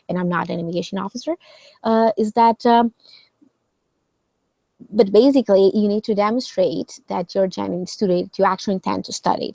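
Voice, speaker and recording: A woman's voice: medium pitch, gravelly, no room sound. A woman hosting a live question session, explaining casually with many fillers and "right?" checks. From Portugal. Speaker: host answering viewer questions.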